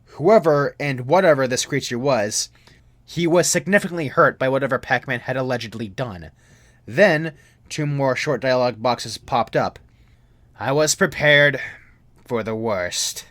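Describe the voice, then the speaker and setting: A young man narrates a scripted horror story. His voice is medium in pitch, and he speaks in a serious, measured storytelling manner. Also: fairly bright tone.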